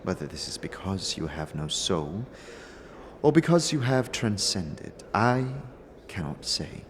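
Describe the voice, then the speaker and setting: A man voicing a solemn, aloof mystic noblewoman with formal, measured speech and dramatic pauses. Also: sits low, very animated. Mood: cryptic, solemn.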